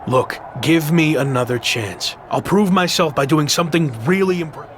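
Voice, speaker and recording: An actor playing a cocky, ambitious young wrestler in a video game, speaking in an assertive, argumentative way. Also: male voice, on the high side, normal speed, slight background noise, natural voice. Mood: pleading, determined. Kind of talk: scripted video game cutscene acting.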